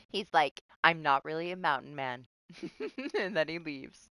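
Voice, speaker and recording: A young woman from Texas, chatting casually on a live game stream, laughing often and swearing, with a voice starting to go hoarse. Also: low-pitched, big pitch swings, slow pace, bright, forceful tone, no room sound. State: starting to lose her voice. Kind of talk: casual live-stream chat while playing.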